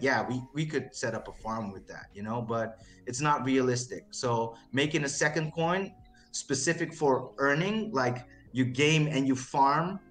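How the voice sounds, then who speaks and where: Man, non-native English speaker, slight Texan traces, halting, repeats words, laughs often, middle pitch, faint music behind. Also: fairly bright tone. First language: not English. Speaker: crypto project CEO, guest. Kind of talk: casual interview chat.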